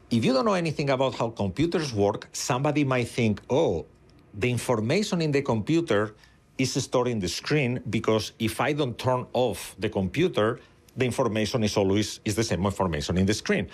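A man's voice: medium pitch, breathy edge. A middle-aged man, a native Spanish speaker with a Spanish accent, explaining things as an interviewed neuroscientist in an opinionated way, with everyday analogies.

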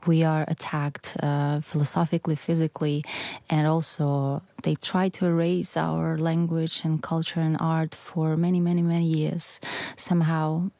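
This is a woman with a Ukrainian accent, English not her first language. Her voice sits low and dark with a gravelly edge. She answers interview questions earnestly, pausing and restarting as she searches for words. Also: level tone, no room sound. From western Ukraine. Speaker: musician guest.

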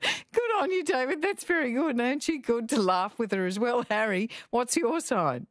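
A woman's voice: fairly high pitch, expressive, moderate pace, no echo, clean studio sound. A woman hosting a talkback radio show, chatting with callers and laughing easily. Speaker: radio host.

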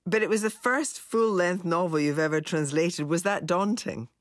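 A woman interviewer asking calm, probing questions. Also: on the low side, moderate pace, dry recording, clean studio sound.

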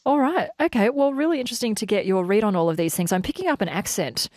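A woman's voice: mid-range voice, animated, fast talker, darkish tone. A woman hosting a live radio show, upbeat and bantering with her guest and producer. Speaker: radio host. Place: radio studio. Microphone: studio mic, dry.

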